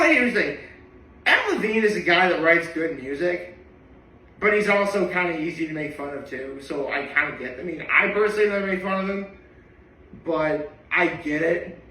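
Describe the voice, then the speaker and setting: Chatty bright-voiced tenor man. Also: high voice, echoey room, recorded from a distance, poor audio. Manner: chatty and rambling, with many asides. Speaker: daily vlogger. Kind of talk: casual reaction vlog monologue.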